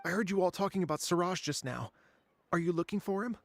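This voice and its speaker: A man's voice: high-pitched, fast talker, no room sound. An actor playing an unambitious, chatty young male academic in a video game. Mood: helpful, modest.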